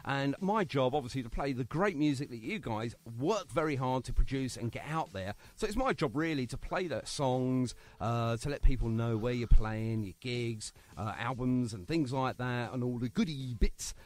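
Chatty radio host, lively pitch. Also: male voice, normal speed, hardly any pauses. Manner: chatty, jokey, self-mocking. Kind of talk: casual radio interview.

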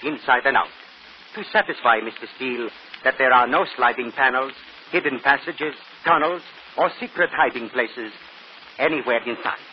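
Male actor as courteous, boastful showman magician, deliberate and grandiose, on a dull, hissy old radio broadcast. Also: medium pitch, lightly acted. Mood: grandly confident. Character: theatrical, boastful stage magician, courteous and confident. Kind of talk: old-time radio mystery acting.

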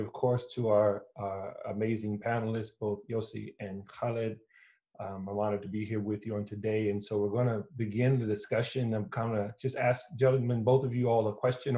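A middle-aged man moderating a panel on a video call, asking drawn-out, rambling questions. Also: on the low side, mellow. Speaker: moderator, pastor. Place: home office.

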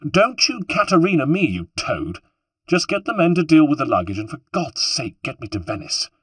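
A man's voice: medium pitch, very animated, normal speed, slightly rough, no echo. A man voicing a spirited, imperious younger noblewoman in a storybook, scolding and commanding. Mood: commanding, contemptuous.

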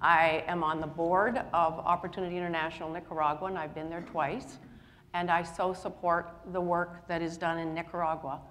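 A middle-aged woman from Canada moderating a conference panel with an upbeat, enthusiastic manner. Her voice sits a little low for a woman. She is recorded on a lapel mic with a long hall reverb and faint background ambience. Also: flat delivery, moderate pace, fairly bright tone, slight background noise. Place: indoor conference stage with audience.

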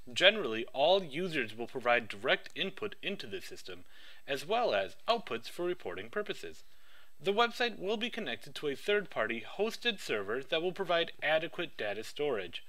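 A man giving a rehearsed project pitch with an upbeat sales-pitch delivery. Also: pushed and bright.

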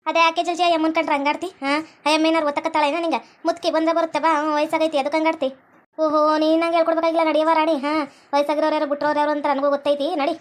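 A woman's voice: high voice, level tone, very fast, close to an everyday voice. A woman playing chatty, bickering village farm labourers in a cartoon skit, garrulous and colloquial, with a North Karnataka accent.

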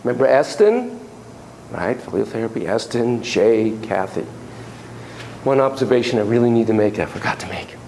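Older male lecturer, gravelly, animated and chatty; recorded in a classroom from the back of the room, with faint room noise. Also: mid-range voice, normal speed, poor audio. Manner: animated, chatty, quoting clients in a raised voice. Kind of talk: university lecture. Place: classroom with students. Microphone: far camera at the back of the room.

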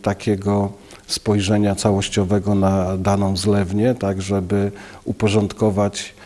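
A man in his fifties, a local official giving a news interview in an office, speaking haltingly with many filler sounds into a handheld mic. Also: lowish voice, monotone, warm tone. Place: office, indoors. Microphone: handheld station mic.

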